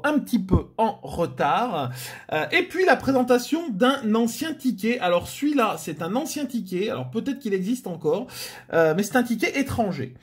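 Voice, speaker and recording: Chatty higher-pitched man, lots of fillers. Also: breathy edge. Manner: relaxed, hesitant, many "euh" fillers. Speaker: host of a scratch-card channel. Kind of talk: casual chat to camera.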